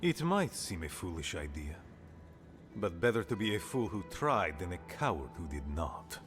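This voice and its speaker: Deep-voiced aging dwarf leader. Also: male voice, very animated, moderate pace, over music. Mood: earnest, grave. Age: older. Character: aging pragmatic dwarf leader of a fanatical order. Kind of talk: fantasy video game dialogue.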